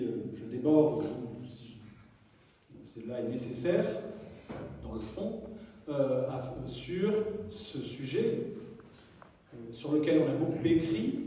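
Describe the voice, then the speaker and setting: A young man from France giving a public history lecture, measured and hesitant, with dry asides. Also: on the high side, mellow, a little hiss or hum. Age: young adult. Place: large lecture hall. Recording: echoey hall, distant mic, faint ambience.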